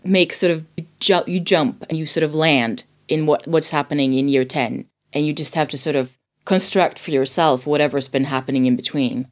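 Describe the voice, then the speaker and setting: A woman from Sweden, a native Swedish speaker, casually discussing a film in a thoughtful way, often pausing to rephrase. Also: deep voice. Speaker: podcast guest reviewing a film.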